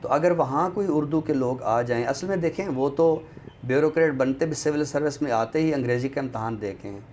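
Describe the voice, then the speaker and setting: A man with a Pakistani accent speaks as a guest in a thoughtful discussion, formal and deliberate, pausing often. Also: medium pitch, darkish tone, slight background noise. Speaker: guest commentator on a literary show.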